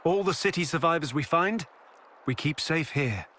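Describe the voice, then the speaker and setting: An actor playing a composed, courteous leader of a refuge base in a video game. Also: male voice, on the high side, expressive, moderate pace, bright, forceful tone. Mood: welcoming. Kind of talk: video game cutscene acting. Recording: music behind the voice.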